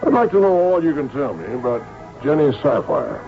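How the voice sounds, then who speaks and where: An actor playing a brisk, probing police inspector with a gravelly voice in an old-time radio drama. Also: male voice, fairly high pitch, wildly swooping pitch, soft background music. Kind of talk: old-time radio drama acting with first-person narration. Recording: dull old radio recording.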